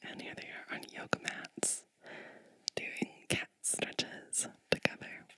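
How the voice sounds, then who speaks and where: A woman reading a storybook in a gentle, breathy whisper for ASMR, unhurried, with short phrases and chatty asides. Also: no room sound.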